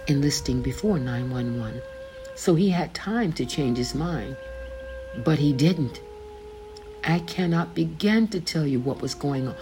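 An older woman reading her own faith memoir aloud, slowly and deliberately, pausing to gloss the names in scripture. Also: low-pitched, rough recording. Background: background music.

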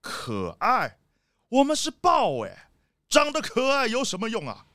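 A man's voice: very high voice, huge pitch swings, a little slow, bright, forceful tone, dry recording, studio quality, full character voice. A man playing a boastful, irritable rich leopard boss in a children's audio drama, brusque and dismissive. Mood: grumbling.

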